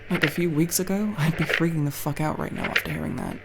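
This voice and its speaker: A young woman playing a guarded but tender tomboy friend in an ASMR roleplay, speaking intimately and haltingly, with sincere, teasing, casually sweary delivery. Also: low-pitched, gravelly, heavy background noise. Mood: wry, casual. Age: young adult. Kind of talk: ASMR roleplay, intimate one-sided dialogue.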